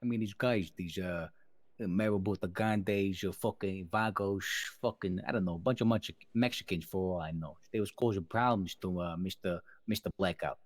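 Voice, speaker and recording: A man plays a mafia underling in an online roleplay. His voice is very low and closely recorded with little room sound, and he lays out business in winding, euphemistic wiseguy talk. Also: moderate pace, no room sound, lightly acted. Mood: probing. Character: scheming, businesslike mobster underling reporting to boss. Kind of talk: improvised online crime roleplay.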